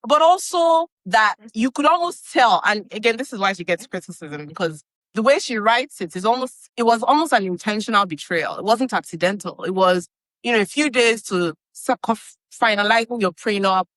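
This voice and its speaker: A woman's voice: mid-range voice, expressive, moderate pace, a bit bright, no room sound. A woman from Nigeria, a podcast guest speaking in an articulate, opinionated way. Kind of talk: casual conversation.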